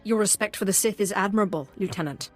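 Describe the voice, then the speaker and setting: A composed Sith apprentice from a space fantasy game, voiced by a woman. She speaks at a middle pitch in a poised, courteous way, with music underneath. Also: fast, natural voice. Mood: polite.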